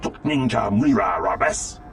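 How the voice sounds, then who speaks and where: A gruff alien from a video game. The voice is deep-leaning and very gravelly, speaking an invented tongue over faint music. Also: male voice, expressive, moderate pace. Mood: gruff, insistent. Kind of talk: video game character acting.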